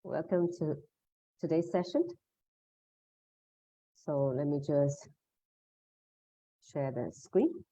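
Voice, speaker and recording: A woman's voice: low-pitched, slow pace, long pauses, mellow, dry recording. A woman leading an online meditation lesson, explaining in a devotional, instructional way, over a video call. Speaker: meditation session leader. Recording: dull, muffled online session audio.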